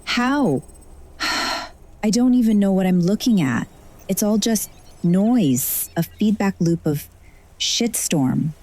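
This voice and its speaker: A woman's voice: medium pitch, expressive, slow pace, a little mellow. A woman playing a sardonic, frazzled heroine who narrates her magical adventure in first person in an audio drama. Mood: exasperated. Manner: wry, sarcastic asides.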